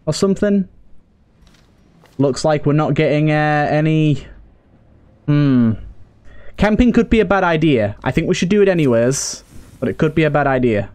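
Expressive man with a higher-pitched voice. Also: normal speed, long pauses, smooth. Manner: talks to the game characters, pleading and exclaiming. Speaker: gaming video host and player. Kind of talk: casual let's play commentary.

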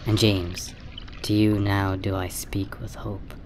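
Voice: low-pitched, little pitch movement, takes it slowly. An actor as desperately devoted grieving woman, solemn poetic delivery, impassioned, radio play, some background noise. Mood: tender resolve. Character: grieving, defiant wife and mother, desperately devoted. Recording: noisy ambience around the voice. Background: ambient noise.

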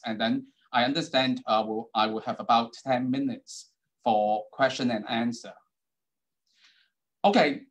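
A man's voice: mid-range voice, rough recording. A middle-aged man with a Hong Kong accent, whose first languages are Cantonese and Putonghua, giving an online keynote talk over a video call, with many ums and uhs. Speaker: keynote speaker, university dean.

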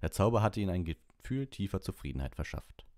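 Very deep-voiced man narrating a story. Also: big pitch swings, a little fast, darkish tone, dry recording, studio quality. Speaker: amateur audiobook reader.

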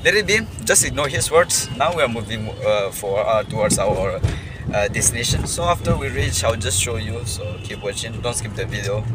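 A man's voice: mid-range voice, lively intonation, normal speed, heavy background noise. A young man chatting casually and jokingly into a phone held at arm's length, rambling with lots of fillers. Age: young adult. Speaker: vlogger. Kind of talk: casual vlog chat. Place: car, then a restaurant.

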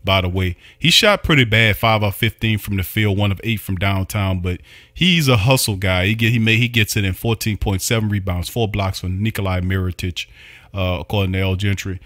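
A man's voice: lowish voice, fairly quick, fairly bright tone, no echo. Man hosting a dry, close sports recap. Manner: reads off stats, upbeat hype. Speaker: sports podcast host. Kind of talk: recapping stats on a podcast.

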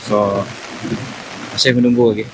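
A man's voice: fairly low pitch, flat delivery, moderate pace, background noise. A man narrating a casual camping vlog, informally mixing in English words. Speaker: camping vlogger.

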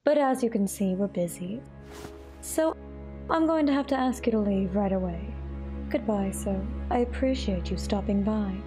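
A woman's voice: medium pitch, normal speed, dark tone, close to an everyday voice. A woman voicing a domineering aristocratic mother in an anime fandub, speaking formally with composed, polite condescension. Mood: icily polite. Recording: music behind the voice.

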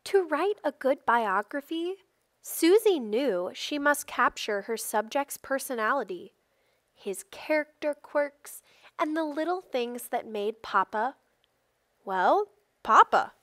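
A woman reading a children's picture book aloud expressively, with deliberate phrasing and emphatic stresses. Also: high voice, animated, fairly bright tone, no echo, clean studio sound. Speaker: storybook reader.

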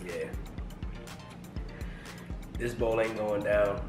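A man's voice: fairly low pitch, extremely slow. A young man swearing and bantering casually at a dining table, picked up by a camera on the table. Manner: swears freely, animated, nervous laughter. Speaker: guest, vlogger's husband. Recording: echoey room with background music.

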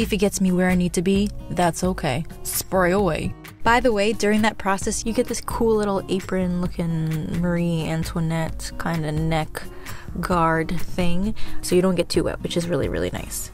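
A woman's voice: fairly low pitch, normal speed, soft background music. A young woman with an American accent talking casually to her viewers in a playful, chatty, conversational way as a vlogger. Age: young adult. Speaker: vlogger reviewing a hair salon visit. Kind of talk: casual vlog narration to viewers.

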